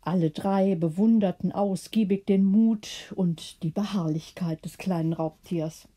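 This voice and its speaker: Female audiobook narrator: scripted bedtime tale, measured and expressive, slow pace, gentle dark tone. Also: lowish voice.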